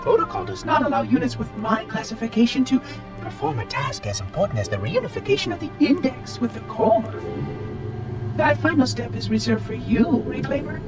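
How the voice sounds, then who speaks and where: Fussy floating machine caretaker, game cutscene: high, officious, distant, music underneath. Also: male voice, huge pitch swings, a little fast, heavily acted. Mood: cheerfully matter-of-fact. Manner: formal, pedantic, theatrically indignant. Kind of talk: video game cutscene acting.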